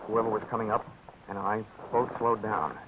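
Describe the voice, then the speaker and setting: A man's voice: on the low side, moderate pace, noisy background, natural voice. An actor playing a hard-boiled male insurance investigator who narrates in a wry, clipped, wisecracking style in a 1940s radio drama. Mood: narrating. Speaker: lead and narrator. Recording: dull old radio broadcast with music.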